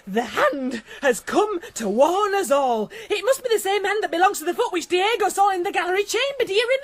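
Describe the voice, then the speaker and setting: An excitable young maidservant in a gothic radio drama. The voice is very high and animated, with a breathless, deferential manner and a taste for gossip. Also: female voice, a little fast. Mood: panicked. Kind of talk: period radio drama acting.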